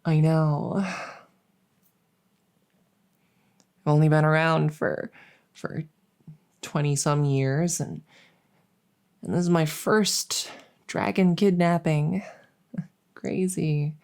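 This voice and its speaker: Audio roleplay: young royal heiress voiced by a woman, unhurried and confiding, gravelly fry, halting delivery, world-weary. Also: deep voice, fairly even intonation, a little mellow. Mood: flustered, charmed. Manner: hesitant, halting, confiding, with trailing pauses. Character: flustered, world-weary young princess, heir to a throne. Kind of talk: intimate audio roleplay addressed to the listener.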